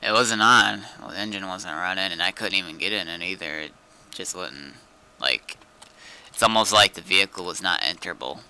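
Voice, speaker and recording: Deep, very bright-voiced man gaming. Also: normal speed, smooth. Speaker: game streamer. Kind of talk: casual gaming chat.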